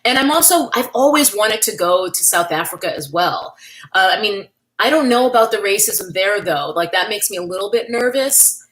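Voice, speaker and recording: A woman's voice: mid-range voice, animated, normal speed, a bit bright, poor audio. A woman from New York, hosting a casual livestream, chatting candidly and thanking viewers by name as she reads their comments. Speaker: livestream host.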